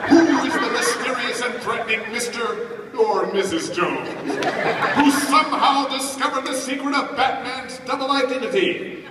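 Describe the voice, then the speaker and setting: A man acting an excitable, dramatic 1940s radio serial announcer, narrating on stage. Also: high voice, background noise, lightly acted. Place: large indoor convention panel room. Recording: echoey convention hall, distant mic, crowd.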